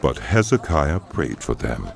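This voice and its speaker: A man narrating scripture from a script in a measured, expressive storytelling manner. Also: a bass voice, gravelly. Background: music under the voice.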